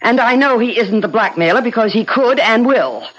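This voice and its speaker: A woman's voice: fairly high pitch, animated, normal speed, a bit bright. An actress playing an imperious, icy wealthy woman in a 1970s radio drama, haughty, clipped and commanding. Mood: demanding. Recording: muffled vintage radio broadcast.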